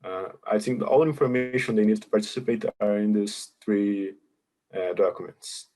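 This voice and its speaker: A man's voice: on the low side, moderate pace, mellow. A man presenting a webinar, patiently explaining contest rules and answering questions over a video call. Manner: patient, explanatory, hesitant with many fillers.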